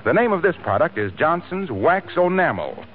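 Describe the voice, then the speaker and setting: A man announcing a 1940s radio show and delivering its sponsor pitch in scripted period style. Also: big pitch swings, moderate pace, crowd noise. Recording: old narrow-band radio broadcast.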